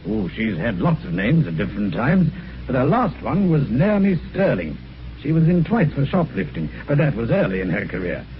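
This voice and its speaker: An actor playing a jovial, teasing British police inspector in a radio mystery, breezy and chatty. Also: male voice, medium pitch, sing-song, normal speed. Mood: amused, teasing. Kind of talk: 1950s radio mystery acting. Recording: dull, noisy old radio recording.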